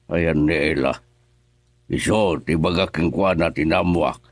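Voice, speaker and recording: A man's voice: extremely low pitch, a little slow, no echo, full character voice. An actor playing an older Ilocano father in a radio drama, talking in a plain, grumbling way.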